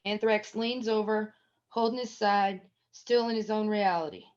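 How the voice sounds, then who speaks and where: A woman's voice: mid-range voice, steady tone, a little slow. A woman narrating a screenplay at a table read, reading scene headings and stage action as scripted narration. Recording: dull, lo-fi sound.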